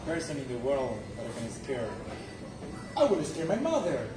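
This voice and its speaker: Guy improvising a story, very lively, far from mic, music in the back. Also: medium pitch, normal speed. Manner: animated, acts out dialogue. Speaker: contest participant. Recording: echoey room from afar, music behind.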